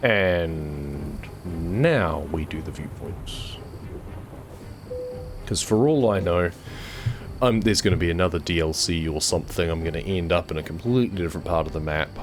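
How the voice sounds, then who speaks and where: Man, hoarse post-cold voice, very low pitch, easygoing game-stream chatter, music playing underneath. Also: normal speed. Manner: relaxed, chatty, talks to himself while driving. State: hoarse, voice nearly gone after a cold. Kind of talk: casual gameplay commentary.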